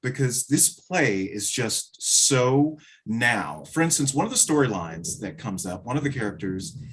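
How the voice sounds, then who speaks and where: Guy director chatting in an interview, middle pitch, puts emphasis on stuff, expressive wording. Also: a little slow, low-quality recording. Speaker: theater director being interviewed. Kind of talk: conversational interview talk.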